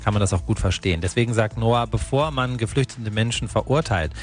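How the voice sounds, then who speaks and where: Male morning-show radio host, conversational report with opinion, slightly low voice, quiet music bed underneath. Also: brisk. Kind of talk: radio news report with commentary.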